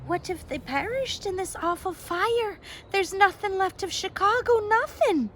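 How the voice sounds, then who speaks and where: A woman's voice: extremely high pitch, lively intonation, a little slow, a bit bright, a little hiss or hum, pitched above the performer's own voice, full character voice. A woman voicing a frightened, hysterical little sister in a read-aloud storybook, pleading tearfully. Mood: sobbing despair.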